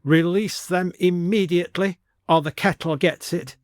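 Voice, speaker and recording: Man voicing an imperious aristocratic lady, pitched high. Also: steady tone, normal speed, no echo, studio quality, lightly acted. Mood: defiant, strained.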